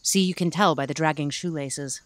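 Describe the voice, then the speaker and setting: A woman's voice: low-pitched, brisk, close to an everyday voice. A woman playing a shrewd, unflappable senior fugitive with a wry, folksy manner in an audio comedy drama. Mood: shrewd, knowing.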